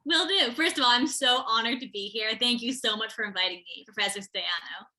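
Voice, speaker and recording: An eager teenage girl answers interview questions in a very bright, clear voice. She speaks articulately and keeps a lively, enthusiastic tone, laughing now and then. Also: fairly high pitch, fairly quick. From America, Connecticut. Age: teens. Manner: eager, articulate, laughs while telling stories. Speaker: interview guest, student.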